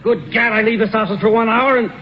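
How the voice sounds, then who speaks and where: An actor playing a gruff, bossy, irritable Hollywood producer, brusquely barking orders in 1940s radio comedy style. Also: male voice, high-pitched, fairly even intonation, fast talker, close to an everyday voice. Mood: irritated, barking. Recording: dull, noisy old radio recording.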